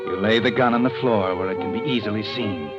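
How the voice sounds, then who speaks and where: An actor playing a sardonic, ominous narrator who tells an old-time radio mystery in the second person. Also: male voice, on the low side, fast talker, natural voice. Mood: ominous, suspenseful. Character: sardonic male narrator, cool, ominous voice of conscience. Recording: muffled old radio recording, music behind.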